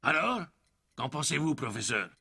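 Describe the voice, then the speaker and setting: A man voicing a blustering, bossy older ruler and impatient father in an animated storybook, with a raspy, gravelly voice. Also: on the high side, normal speed, full character voice. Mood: gruff. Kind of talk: French animated storybook dialogue.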